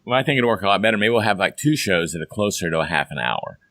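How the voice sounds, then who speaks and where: A hoarse man hosts a folksy, upbeat podcast chat. His voice sits on the low side yet comes across bright and forceful. The sound is dry and close, with no room around it. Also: expressive, fast, no echo. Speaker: podcast host. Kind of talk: casual chat.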